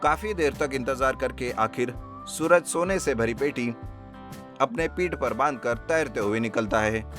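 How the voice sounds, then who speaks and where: A man narrates a scripted story for children. His voice sits at a middle pitch, and guitar music plays clearly underneath him. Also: a little slow, fairly bright tone. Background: plucked guitar music.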